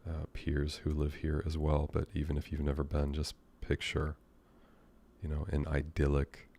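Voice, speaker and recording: An American man guiding a meditation in a gentle, unhurried, unscripted way, leaving long pauses between phrases. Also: very deep voice, completely flat delivery, creaky, slightly rough. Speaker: meditation guide. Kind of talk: guided meditation, soothing and unscripted.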